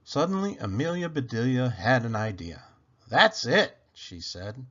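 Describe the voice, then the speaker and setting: A man reading a children's picture book aloud as a storyteller, with expressive, dramatic emphasis. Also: mid-range voice, normal speed, lo-fi sound. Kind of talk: animated read-aloud narration.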